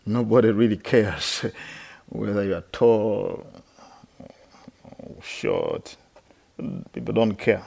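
A middle-aged man from Uganda, a Buddhist monk, giving a halting, explanatory dharma talk with many fillers at a meditation retreat. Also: lowish voice, wildly swooping pitch, creaky, darkish tone. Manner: laughs while telling stories.

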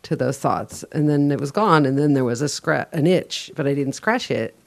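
Chatty woman with a gravelly voice. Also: a bass voice, expressive, moderate pace. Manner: rambling, chatty, self-conscious. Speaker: retreat participant. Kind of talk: sharing in group Q&A.

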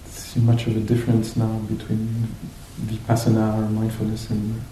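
A man teaching meditation to a group, speaking reflectively and off the cuff, with hesitant pauses between short phrases. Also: completely flat delivery, dark tone. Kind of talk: guided meditation talk, unscripted and reflective. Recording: roomy echo, faint noise with low rumble.

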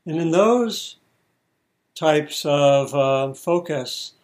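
A man leads a guided meditation as a teacher. His voice sits a little higher than most men's. He speaks very slowly, in short measured phrases, leaving pauses between instructions. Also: expressive, a little mellow.

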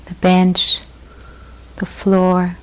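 Slow, gentle woman guiding meditation. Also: fairly low pitch, monotone, rough recording. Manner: gentle, unhurried, with long pauses between short phrases. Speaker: meditation teacher. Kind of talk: guided meditation.

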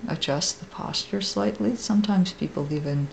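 A woman meditation teacher leading a guided meditation in a gentle, soft, creaky, unhurried voice with long pauses. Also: fairly low pitch, a little hiss or hum.

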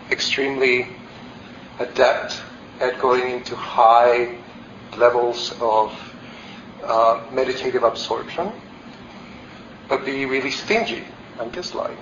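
A man's voice: medium pitch, fairly bright tone, a little hiss or hum. A man in his forties from El Salvador giving a candid, reflective meditation talk, with many fillers and restarts. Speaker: meditation teacher. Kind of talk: dharma talk. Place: meditation hall. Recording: roomy, slightly dull hall recording.

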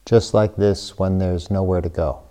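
Deep, mellow male meditation guide. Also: fairly even intonation. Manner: hushed, sparse phrases with long pauses. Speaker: meditation teacher.

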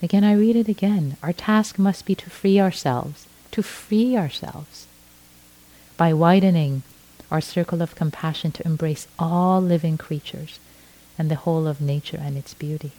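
A middle-aged woman from Iran, a native Farsi speaker, giving a gentle dharma talk as a meditation teacher, often restarting her phrases. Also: on the low side, low-quality recording. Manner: slow, gentle, with frequent restarts.

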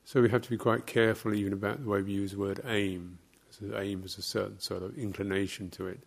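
A man giving guided meditation instruction as a teacher, speaking in short, measured phrases with long, halting pauses. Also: on the low side, little pitch movement, gravelly.

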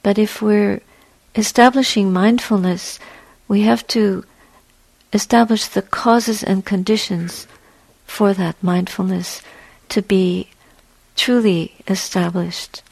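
A woman teaching a guided meditation in a gentle, measured way, with a soft, breathy voice and long pauses between short phrases. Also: mid-range voice, no echo, low-quality recording.

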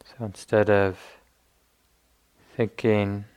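A man leading a guided meditation as a teacher, speaking gently in unhurried phrases with long pauses. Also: lowish voice, flat delivery, smooth.

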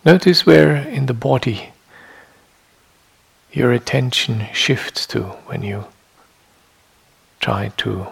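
A man teaching a guided meditation in a meditation hall, speaking in measured short phrases with long pauses and a husky, gravelly voice. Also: medium pitch, lively intonation.

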